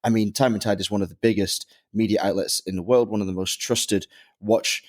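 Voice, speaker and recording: A man hosting a casual podcast, asking his guest long questions full of praise. His voice sits a little low, and he speaks in easy, flowing sentences. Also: a little fast. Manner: enthusiastic, flattering questions. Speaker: podcast host.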